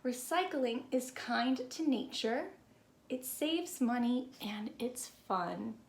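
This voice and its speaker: High-voiced woman reading to children, echoey room. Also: vocal fry. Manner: expressive, deliberate delivery for young listeners. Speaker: teacher reading a picture book aloud. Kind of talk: children's read-aloud storytelling. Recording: roomy echo with background noise.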